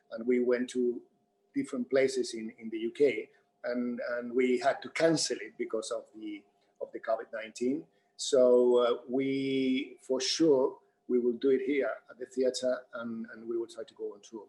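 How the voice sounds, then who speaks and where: A man's voice: medium pitch, darkish tone, low-quality recording. A man who works as a theatre director, giving spontaneous answers on a panel.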